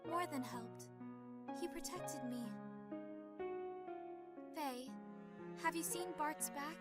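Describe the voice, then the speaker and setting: Woman playing a very high-voiced earnest girlish heroine. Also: takes it slowly. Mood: earnest, confiding. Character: earnest, spirited, devoted young woman. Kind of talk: fan radio drama acting. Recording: loud background music.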